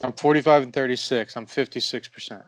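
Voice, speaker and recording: Man, middle pitch, wisecracking, excitable, dry close audio. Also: quick pace, no echo, poor audio. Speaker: podcast co-host. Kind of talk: casual sports chat.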